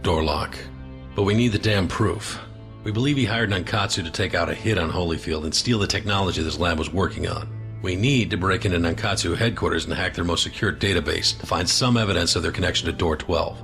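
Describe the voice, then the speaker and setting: A man's voice: deep voice, fairly quick, fairly bright tone, faint music behind. An actor playing a stern, commanding male intelligence chief in an audio drama, firm and insistent. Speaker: door chief.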